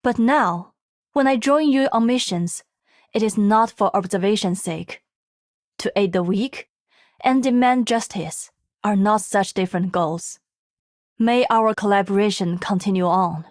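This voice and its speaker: A woman's voice: mid-range voice, a little slow, dry recording, studio quality, natural voice. A woman voicing a formal, reserved and earnest investigator in a video game. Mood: formal, sincere. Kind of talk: video game character voice acting.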